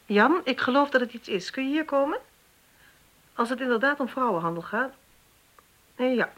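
An actress playing a businesslike, sceptical police detective in a radio play, questioning and giving orders in a matter-of-fact way. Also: female voice, sits high, expressive, moderate pace, fairly bright tone. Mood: brisk, concerned. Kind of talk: naturalistic radio play acting.

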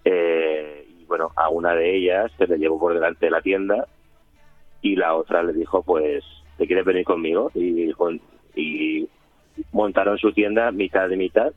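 A man's voice: sits low, steady tone, unhurried, dry recording, soft background music. A man, a radio documentary producer, talking in an interview, hesitant and full of restarts. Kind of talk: interview talk. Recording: phone-like line.

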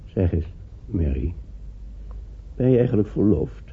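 A lonely, ailing old gentleman, speaks low and very husky. His delivery rises and falls freely, on a tinny old recording. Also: big pitch swings, unhurried, full character voice. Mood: tender, probing. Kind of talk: radio drama acting. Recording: tinny, narrow-band old radio recording.

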